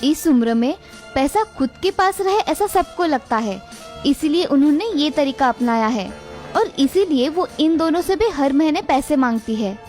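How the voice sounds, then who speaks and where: A woman's voice: high voice, animated, moderate pace, a little mellow, soft background music. A woman voicing a firm, cautious elder daughter-in-law in a cartoon family story. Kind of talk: Hindi cartoon story dialogue.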